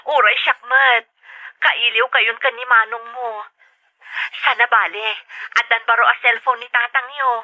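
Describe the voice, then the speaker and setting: A woman's voice: on the high side, fairly even intonation, measured pace, sharp tone, rough recording. A woman with an Ilocano accent acting an affectionate mother who works abroad and calls her children, in an audio drama. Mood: fond, cheerful. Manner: fond, motherly.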